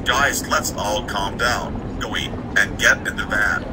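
A man's voice: lowish voice, slow pace, very noisy. A man voicing a goofy character in a homemade cartoon. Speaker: guest voice actor in homemade cartoon. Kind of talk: amateur cartoon voice acting.

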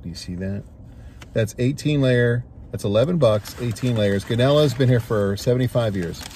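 A man in his forties hosting a casual food review from a parked car, loud, excitable and jokey. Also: medium pitch, moderate pace, a little hiss or hum. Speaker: radio host.

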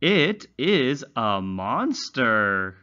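Very slow, animated male children's teacher. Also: medium pitch, highs cut off. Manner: carefully enunciated, instructional, exaggerated intonation. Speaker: English teacher for young learners. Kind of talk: slow read-along lesson narration for children.

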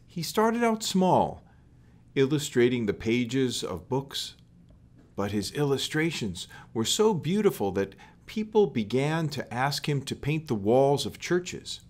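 Middle-aged male storyteller, mid-range voice, slow deliberate read-aloud for children. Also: mid-range voice, big pitch swings, a little mellow. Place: small plain room indoors.

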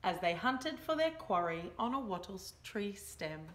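A young woman reads a picture book aloud to children in an expressive, emphatic storytelling style. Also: medium pitch, normal speed. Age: young adult. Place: small indoor room by a window.

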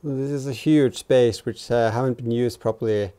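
A man, probably in his thirties, giving a casual hands-on workshop narration in a halting way as he thinks aloud while working. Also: medium pitch, steady tone, warm tone, clean tone. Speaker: host of a DIY vehicle build vlog. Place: indoor garage workshop, in and around an open car.

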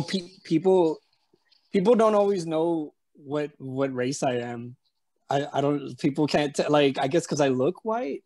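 A man's voice: on the high side, a little mellow. A man from San Antonio with a slight Spanish-tinged Texan accent, telling a story as an interview guest over a video call, rambling with lots of fillers and restarts. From San Antonio, Texas. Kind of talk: casual storytelling chat. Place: room at home. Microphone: webcam on a video call.

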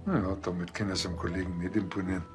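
Low-voiced, quirky male patrol cop played by an actor. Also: little pitch movement, quick pace, music in the background, lightly acted. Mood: curious. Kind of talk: German TV crime comedy acting.

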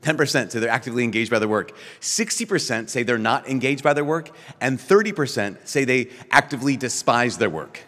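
Animated priest speaking in a big hall. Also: male voice, medium pitch, a little fast, few pauses. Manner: animated, gesturing, with many restarts and rhetorical questions. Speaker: priest giving a keynote talk. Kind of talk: lively conference keynote. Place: large conference hall, at a podium. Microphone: podium microphone.

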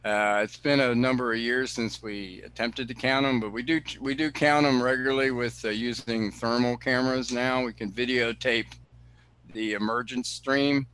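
A man, director of a cave preserve, answering questions on a video call in an explanatory way, often pausing with fillers and restarting sentences. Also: mid-range voice, fairly even intonation, a bit bright, clean tone. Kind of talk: answering questions in an online talk.

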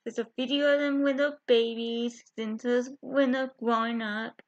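A young woman chatting to her webcam from a small bedroom, halting, with many fillers and restarts. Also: fairly high pitch, flat delivery. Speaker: vlogger. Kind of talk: casual chat to camera. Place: small bedroom with window blinds. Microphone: webcam at close range. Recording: dull lo-fi webcam audio.

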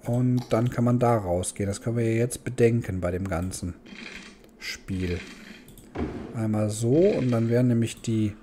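A man's voice: medium pitch, unhurried, dark tone, a little hiss or hum. A man giving casual let's play commentary, thinking aloud and muttering questions to himself. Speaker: gaming host.

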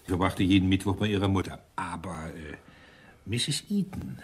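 A man's voice: low-pitched, normal speed, breathy edge. A man playing an irritable British police inspector in a German radio crime drama, dry and commanding. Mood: explaining.

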